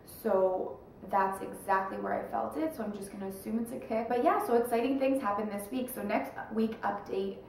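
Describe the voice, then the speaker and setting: A young woman rambles along to her viewers in a relaxed, chatty way, with a stuffed-up nose. She sits back from the mic in a very echoey room at home, with a faint hiss underneath. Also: medium pitch, moderate pace, creaky, hardly any pauses, far from the mic. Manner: rambling, chatty, lots of fillers. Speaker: vlogger. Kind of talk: casual chat to camera.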